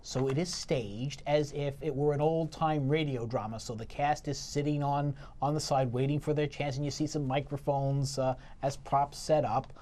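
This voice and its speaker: A man's voice: medium pitch, fairly even intonation, moderate pace, hardly any pauses, dark tone. Male co-host reviewing theatre, informal and mildly critical, feeling his way through sentences. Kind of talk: conversational review banter.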